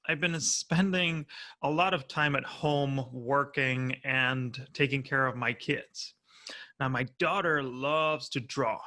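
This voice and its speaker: A man's voice: mid-range voice, bright, forceful tone. A man in his thirties from Argentina with an Argentine Spanish accent, giving a friendly, explanatory prerecorded conference talk from home. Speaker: conference speaker.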